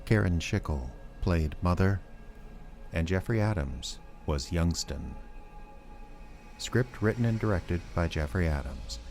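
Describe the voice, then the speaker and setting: A man's voice: low-pitched, a little mellow. A man reading scripted closing credits as the announcer of an audio drama. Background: music.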